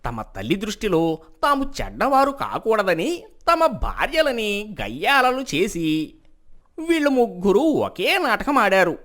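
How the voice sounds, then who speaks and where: A woman's voice: medium pitch, huge pitch swings, measured pace, a bit bright. A woman voicing a witty, confident male court jester in a moral story cartoon, speaking in an emphatic, declamatory way. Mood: accusing. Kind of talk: animated moral story dialogue.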